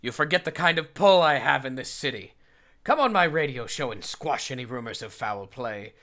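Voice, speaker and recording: Pompous male psychiatrist, played by a man, middle-aged, forceful and gravelly, grand diction, comedy fandub with music behind. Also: medium pitch, expressive, moderate pace. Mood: smug, scheming. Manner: haughty, theatrical, ornate diction. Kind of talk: comic fandub acting.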